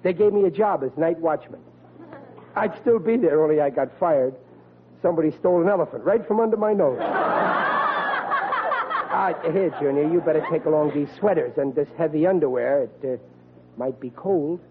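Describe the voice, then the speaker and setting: A man's voice: a little high, moderate pace, long pauses, close to an everyday voice. An actor playing a blustering, dim-witted father of forty in an old radio comedy, talking in a folksy, ungrammatical, cocksure way. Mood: goading. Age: 40s. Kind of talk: old-time radio situation comedy acting. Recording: tinny, hissy old radio broadcast.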